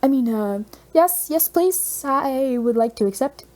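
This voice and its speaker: An eager, awestruck teenage boy played by a woman narrator, pitched up high. He speaks earnestly and haltingly, tripping over his words with nerves, in a storybook reading with a soft-edged tone. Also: lively intonation. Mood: flustered, eager.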